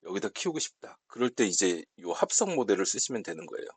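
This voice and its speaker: A man explaining software settings step by step in an unscripted tutorial, speaking politely as an instructor. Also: fairly low pitch, sing-song, fast talker, creaky, slightly rough, no room sound.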